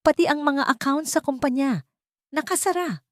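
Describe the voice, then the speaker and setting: A woman's voice: high-pitched, normal speed, dry recording, studio quality, pitched above the performer's own voice, natural voice. A woman voicing an agitated, demanding cheating husband in a story. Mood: panicked. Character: cheating husband, adult man losing his money.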